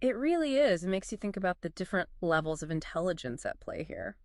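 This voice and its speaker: A woman's voice: lowish voice, very animated, quick pace, darkish tone, no room sound, studio quality. A woman co-hosting a conversational book discussion podcast, explaining things in an agreeable way. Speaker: podcast co-host.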